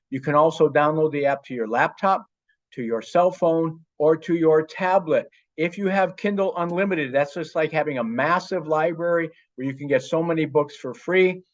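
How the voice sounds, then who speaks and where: A man's voice: fairly high pitch, highs cut off. A man presenting a podcast introduction in a plain-spoken, earnest way. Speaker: podcast host and author. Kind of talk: podcast introduction with self-promotion.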